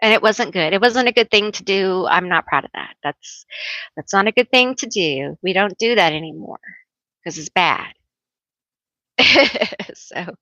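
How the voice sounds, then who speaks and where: A woman reading tarot on a relaxed live stream, with a bright, forceful voice and an unhurried pace. She chats loosely, laughs a lot and pokes fun at herself. The sound is dry and a little dull, like lo-fi stream audio. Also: mid-range voice, no room sound. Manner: chatty, laughs often, self-teasing; calls herself loud, a yeller. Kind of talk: casual live-stream chat.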